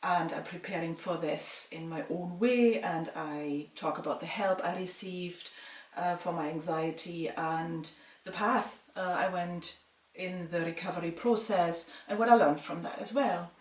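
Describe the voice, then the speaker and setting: Calm German-raised woman vlogging from afar. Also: lowish voice, distant mic. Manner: calm, measured, with frequent ums. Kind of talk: casual Q&A talk to viewers. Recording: roomy sound from away from the mic.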